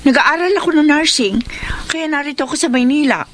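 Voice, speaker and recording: A woman's voice: high voice, fairly quick, background noise, lightly acted. A woman acting a blunt, irritated young nursing student in a Filipino radio drama, with a brash manner. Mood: annoyed. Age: young adult.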